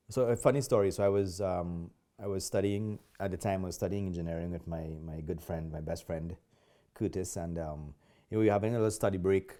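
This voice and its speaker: A man's voice: low-pitched, mellow. A middle-aged man with a Trinidadian accent, a podcast guest talking politely and unhurriedly, with frequent ums. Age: 40s or 50s. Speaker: guest, martial arts instructor. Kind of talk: casual interview.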